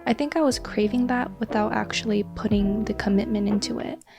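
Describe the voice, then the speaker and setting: A woman in her twenties, a crochet creator chatting casually and earnestly as she answers viewer questions, sometimes dropping to a soft whisper. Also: mid-range voice, a little fast, gravelly, over music. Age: 20s. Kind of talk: casual Q&A chat.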